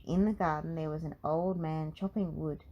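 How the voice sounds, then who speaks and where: A woman narrating a children's storybook in an expressive read-aloud style. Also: deep voice, normal speed, dull audio.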